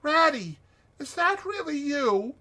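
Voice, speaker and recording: A man's voice: extremely high pitch, sing-song, slow, pushed and bright, pitched above the performer's own voice, full character voice. A man voicing a timid, weary little mole with a feeble, plaintive voice in a dramatized children's storybook. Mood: frightened, relieved.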